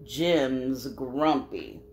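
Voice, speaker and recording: A storybook gorilla neighbor, read by a woman. He is a frank busybody who makes his pointed observations slowly and plainly. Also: extremely low pitch, animated, darkish tone, slight background noise, voice pushed down, exaggerated voice. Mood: matter-of-fact.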